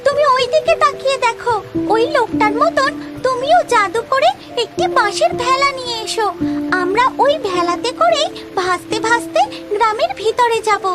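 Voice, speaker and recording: A woman voicing a young, sweet, excitable female character in a cartoon story, with dramatic exclamations. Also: very high-pitched, normal speed, loud music behind the voice. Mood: urgent.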